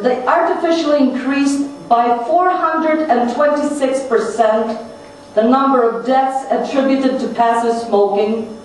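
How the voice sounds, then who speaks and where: A woman reading a prepared formal speech aloud at a conference, with deliberate, emphatic phrasing. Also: fairly high pitch, a little mellow. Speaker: conference speaker reading another person's message. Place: large echoing conference room. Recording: very echoey hall, distant mic, noisy.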